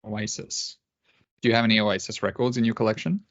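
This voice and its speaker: A man's voice: fairly low pitch, little pitch movement, fairly quick, highs cut off. A man with an Australian accent hosting a casual sports podcast, joking, swearing and laughing often. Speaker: podcast host. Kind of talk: casual chat.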